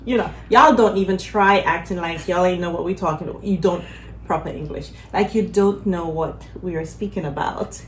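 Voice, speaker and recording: A woman hosting a casual chat, lively and joking, with slangy asides. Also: on the low side, normal speed. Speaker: host. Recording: echoey room, faint ambient noise.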